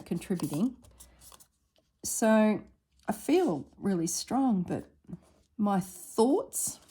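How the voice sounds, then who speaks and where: A woman with a slightly husky voice narrating a casual craft tutorial at her table, thinking aloud as she works. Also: mid-range voice, warm tone. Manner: unhurried, thinks aloud while working. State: recovering from illness, slightly husky throat. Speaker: craft vlogger.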